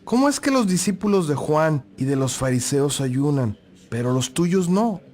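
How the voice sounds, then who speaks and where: A man's voice: fairly high pitch, animated, normal speed, a little mellow, close to an everyday voice. A man playing a critical, insistent questioner challenging a teacher in a dramatized audio Bible.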